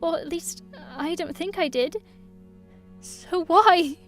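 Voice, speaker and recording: A woman's voice: very high-pitched, takes it slowly, faint music behind. A woman voicing a young orphaned princess in an audio drama, earnest and childlike, hurt yet resolute. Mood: hurt, confiding.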